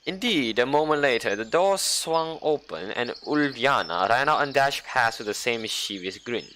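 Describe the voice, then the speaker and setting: A young man reading a visual novel aloud, with playful, sarcastic asides and mock-dramatic slowdowns. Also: mid-range voice, animated, sharp tone, slight background noise. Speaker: game streamer.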